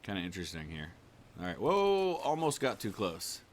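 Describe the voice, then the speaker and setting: A man's voice: low voice, a little fast, fairly bright tone. A man giving laid-back, casual commentary as he plays a game, thinking aloud. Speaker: gaming YouTuber. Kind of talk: casual let's play commentary.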